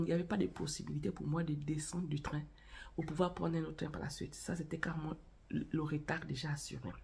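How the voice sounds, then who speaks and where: A woman's voice: low-pitched, gravelly, darkish tone, slightly rough. A woman hosting a casual vlog, chatting directly to viewers and telling a story while she has a cold and a cough. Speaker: channel host. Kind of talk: casual spoken vlog on faith and marriage.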